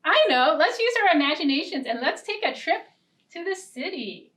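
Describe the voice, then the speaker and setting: A young American woman hosting a storytime, speaking in an animated way to young children. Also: high voice, moderate pace, far from the mic. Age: young adult. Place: indoor storytime set with backdrop.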